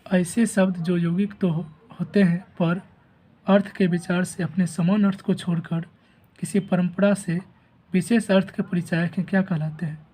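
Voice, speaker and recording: Man with a sore, rough throat. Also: high voice, moderate pace, poor audio. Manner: steady, repetitive, reads each question then gives the answer and option. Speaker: teacher going over an exam answer key. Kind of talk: reading out quiz answers.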